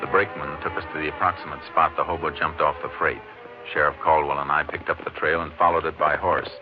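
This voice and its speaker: Low-voiced Texas Ranger, vintage radio. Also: male voice, steady tone, quick pace, over music. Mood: matter-of-fact. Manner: clipped, matter-of-fact, methodical. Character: steady, observant Texas Ranger investigator. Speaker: lead actor in a radio western. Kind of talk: 1950s radio drama acting and first-person narration. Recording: noisy, muffled vintage radio recording.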